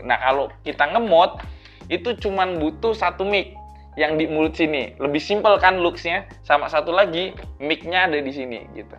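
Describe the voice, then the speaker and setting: A man explains things to viewers as a vlogger, in an informal, chatty, step-by-step way. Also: on the high side. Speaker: vlogger, tech reviewer. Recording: dull lo-fi sound under music.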